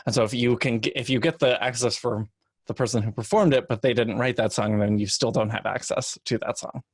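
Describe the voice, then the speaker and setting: A man on a panel giving casual, measured explanations with careful qualifications. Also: mid-range voice, fairly even intonation, breathy edge, dry recording, clean studio sound. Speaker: podcast panelist.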